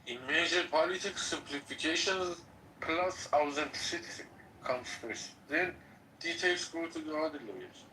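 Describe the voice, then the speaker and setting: A man's voice: on the high side, normal speed, bright, forceful tone. A man in an online chat room explaining a point in short, firm phrases. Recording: distant mic with faint noise.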